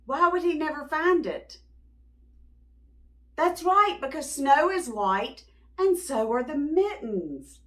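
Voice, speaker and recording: A woman reading a picture book aloud to young children, expressively, pausing to ask them questions. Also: high voice. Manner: expressive, drawn-out words, teacherly prompting. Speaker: children's read-aloud storyteller.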